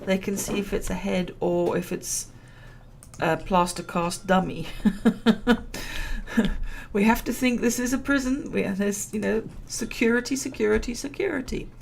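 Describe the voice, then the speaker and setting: A woman commentating a building game at a relaxed pace, chatty and self-amused, and she is losing her voice. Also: mid-range voice, normal speed, vocal fry, a little hiss or hum. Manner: chatty, self-amused, laughs at own jokes. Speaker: gameplay commentator. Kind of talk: relaxed let's-play commentary.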